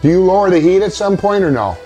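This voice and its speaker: A man's voice: high-pitched, expressive, quick pace, warm tone, soft background music. An older man co-hosting a home cooking show, casually chatting and asking the cook many questions. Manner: curious, asks many questions. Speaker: co-host. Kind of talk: casual kitchen chat. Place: home kitchen.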